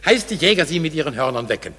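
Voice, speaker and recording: An actor playing a commanding duke who gives orders in an authoritative, declamatory way in a classic radio play. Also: male voice, fairly high pitch, sing-song, quick pace, pushed and bright, husky, big-room sound, full character voice. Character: commanding duke, lord of the hunt. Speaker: ruler.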